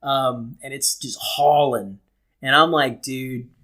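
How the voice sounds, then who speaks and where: A man from California chatting casually as a podcast co-host, telling stories with slangy swearing and animated delivery. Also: mid-range voice, slow pace. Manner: profane, slangy, animated storyteller. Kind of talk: casual banter and storytelling.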